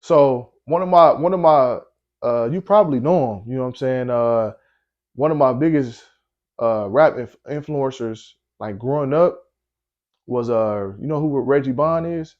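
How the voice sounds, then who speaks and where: A young man from Milwaukee talks as a guest in a casual interview, loose and unhurried, full of slang and fillers. His voice sits at an ordinary pitch and is deep in tone. The sound is a little dull and lo-fi. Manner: laid-back storytelling with slang and frequent fillers. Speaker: guest rapper.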